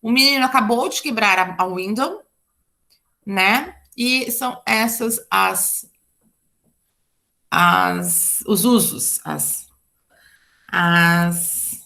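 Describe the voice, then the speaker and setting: A woman's voice: medium pitch, drawn-out words, vocal fry, long pauses, pushed and bright. A Brazilian woman teaching an English grammar class online, chatty and explaining with frequent asides and checks like "tá?". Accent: Brazilian Portuguese.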